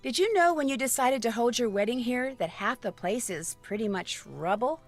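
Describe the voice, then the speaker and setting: A woman's voice: a little high, animated, moderate pace, faint music behind. An actress playing a polite, inquisitive young female detective in a video game, speaking directly. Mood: curious, blunt.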